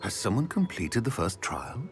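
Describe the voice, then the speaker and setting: An actor playing a courteous, secretive old wizard professor in a game cutscene, speaking gravely. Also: male voice, fairly low pitch, huge pitch swings, normal speed, a little hiss or hum. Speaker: game character. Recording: faint music behind.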